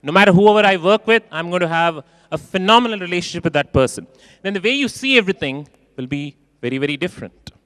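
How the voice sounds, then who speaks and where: Expressive man giving a hall keynote. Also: sits high, fast talker, studio quality. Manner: expressive, acts out quoted voices, repeats phrases for emphasis. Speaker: keynote speaker. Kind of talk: conference keynote talk. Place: large conference hall.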